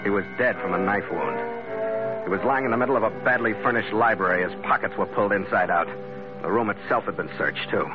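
Actor as quick, hard-boiled radio detective skipper, muffled. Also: male voice, fairly low pitch, over music, natural voice. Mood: grim, narrating. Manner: clipped, wry, hard-boiled. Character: cool, shrewd male skipper turned amateur detective. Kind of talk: 1940s-1950s radio detective drama acting and narration. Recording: muffled, noisy old radio recording.

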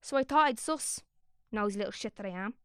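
A woman's voice: medium pitch, animated, fairly quick, clean tone, dry recording. Wry, sweary woman narrator, close and dry. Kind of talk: scripted audio drama narration.

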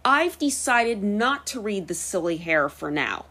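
A woman with an American accent hosting a podcast, reading scripted narration in careful, clear phrases. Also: mid-range voice, very animated, unhurried, bright, forceful tone. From United States. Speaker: podcast host and storyteller.

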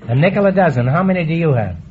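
A middle-aged man hosting a quiz show, teasing his guests with quick puns and asides before a live audience. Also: on the high side, lively intonation, a loud crowd, very noisy. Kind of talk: wisecracking quiz show banter. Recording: dull old radio broadcast, audience behind.

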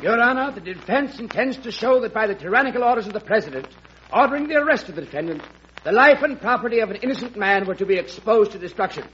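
An actor playing a formal, insistent defense attorney in a classic radio drama, speaking in a declamatory way. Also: male voice, high-pitched, expressive, fast, sharp tone, muffled recording, soft background music. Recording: noisy, band-limited old radio recording.

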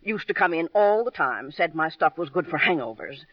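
A man's voice: a little high, animated, normal speed, no echo. A man voicing a pushy, sentimental, gray-haired woman who runs a health-food counter, with emphatic patter in an old radio drama. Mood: mournful, blunt. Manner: pushy sales patter, emphatic, fragmentary sentences. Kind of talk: 1950s radio drama acting. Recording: muffled old radio recording.

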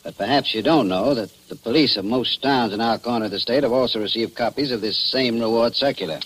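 An actor playing an unruffled, laconic police chief in old radio drama style. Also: male voice, mid-range voice, a little fast, a bit bright, husky. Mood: polite, businesslike. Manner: laconic, dry. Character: unruffled, wry male small-town police chief. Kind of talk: 1940s radio drama acting. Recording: noisy old radio broadcast recording.

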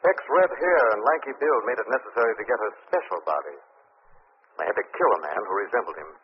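An actor playing a calm, assured gentleman sleuth in 1940s radio drama style. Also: mid-range voice, fast talker, lightly acted. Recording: noisy muffled old radio recording.

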